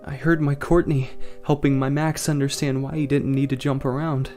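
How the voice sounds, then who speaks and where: A man acting an anguished family man in a fiction podcast, narrating in the first person with halting, emotional delivery. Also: medium pitch, flat delivery, darkish tone, faint music behind, close to an everyday voice. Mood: relieved, tearful. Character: anguished family man trapped in frozen time. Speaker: narrator and lead actor in an audio drama.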